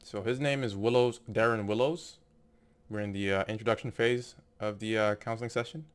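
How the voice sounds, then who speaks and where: A man's voice: on the low side, steady tone, brisk, smooth. A man doing casual video game commentary, reading on-screen text aloud and musing tentatively as he goes. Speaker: gameplay video narrator. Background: game music.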